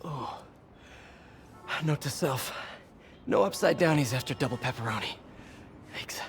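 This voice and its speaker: An actor playing a young, quippy and earnest costumed superhero in a video game, with a wry, self-talking manner. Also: male voice, mid-range voice, animated, unhurried, a little hiss or hum. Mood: wry muttering. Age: young adult.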